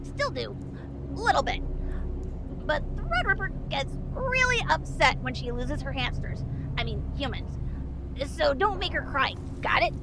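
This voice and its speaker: A woman's voice: high voice, very animated, measured pace, noisy background, full character voice. A woman playing a mischievous, flippant pixie techno-mage in an audio drama, with a sarcastic, teasing delivery. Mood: grudging concern.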